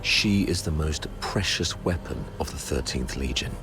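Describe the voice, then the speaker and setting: An actor playing a grave, composed military commander who bargains in a deliberate, measured way, in a dramatic game cutscene. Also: male voice, rumbling low, over music.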